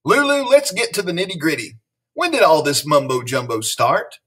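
Casting: man as take-charge storybook nurse lady, emphatic, husky, lively pitch swings. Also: normal speed, far from the mic, heavily acted. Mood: urgent. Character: bossy, conspiratorial woman school nurse.

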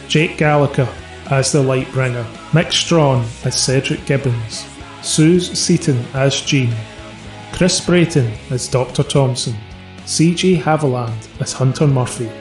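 A male announcer reads scripted credits at a slow pace. His mid-pitched voice has a roomy echo, and faint guitar music plays underneath. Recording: roomy sound. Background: soft guitar music.

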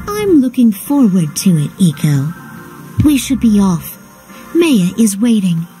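Gentle, lively-voiced young adventurer. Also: female voice, medium pitch, unhurried, rough recording. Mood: gentle, reassuring. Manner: polite, sincere, expressive. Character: young woman traveler, gentle, earnest, trusting. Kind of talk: English video game voice acting. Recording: music behind the voice. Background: game music.

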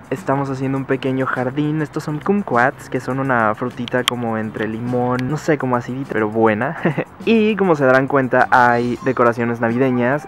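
Giggly Mexican man filming himself. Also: mid-range voice. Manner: chatty, giggly, affectionate. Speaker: vlogger. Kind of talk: casual house tour vlog. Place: mobile home, indoors and outdoors. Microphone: handheld camera at arm's length.

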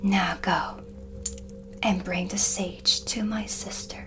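Woman playing a whispering, very slow dark witch. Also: mid-range voice, steady tone, natural voice. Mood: quietly threatening. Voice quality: breathy whisper. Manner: slow, deliberate, ominous, with pauses. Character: dark witch, brooding, blunt, mysterious tea-reading hostess. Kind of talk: soft-spoken ASMR cinematic roleplay. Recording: background music under close whisper. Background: ambient music.

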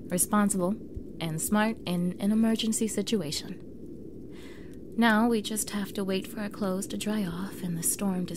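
A young woman playing a defensive mean girl with a vulnerable side in an intimate ASMR roleplay, halting and often dropping to a breathy near-whisper. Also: mid-range voice, close to an everyday voice. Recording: clearly audible background noise bed.

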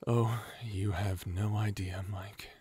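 A heartbroken young man who speaks slowly in a breathy, hushed voice. He talks haltingly, weighed down by grief, in a dry, close audio drama recording. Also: fairly low pitch, steady tone, dry recording, exaggerated voice. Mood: wry.

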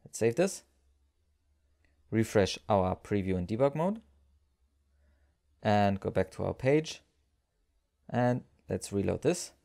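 A man presents a screencast tutorial in a low voice with a creaky edge. His tone is dark and relaxed, and he walks through each step in an explanatory way. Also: slow, pauses often. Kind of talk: screencast software tutorial narration.

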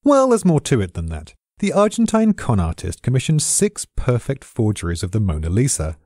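A man's voice: medium pitch, wildly swooping pitch, normal speed, darkish tone, no room sound, clean studio sound. A British man giving scripted explainer narration, in a wry, erudite storyteller's manner. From Britain. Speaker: expert narrator.

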